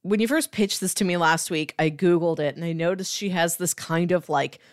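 A woman's voice: fairly low pitch, moderate pace, no echo, studio quality. A woman co-hosting a podcast, chatting casually about books and acting out bits as she goes. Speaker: podcast co-host discussing comics. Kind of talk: casual chat, book review.

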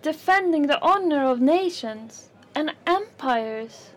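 An actress playing a resigned, pious working-class woman who speaks mildly and asks gentle questions. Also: sits high, expressive, slow pace. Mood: placid. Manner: mild, questioning and placid. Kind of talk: radio play acting.

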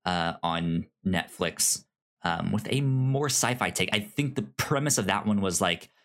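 A man hosting a review podcast in a casual, halting way, often stammering over the starts of his words. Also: on the low side, a bit bright. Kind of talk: casual podcast chat, giving recommendations.

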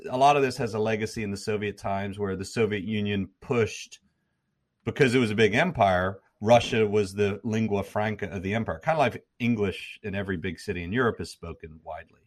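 A man speaking as an interview guest, explaining history at length in a lecturing way, often repeating and restarting words. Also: fairly low pitch. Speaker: guest, former troop commander and history professor.

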